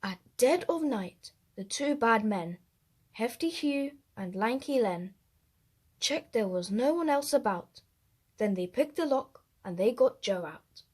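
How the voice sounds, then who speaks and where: A woman storyteller reading a rhyming children's story aloud, expressive, with deliberate emphasis. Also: on the high side, husky.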